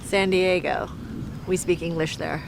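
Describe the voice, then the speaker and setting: An actor in a comedy sketch playing a patient man who calmly says he is from San Diego, matter-of-fact in his replies. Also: high voice, measured pace, gravelly, noisy background.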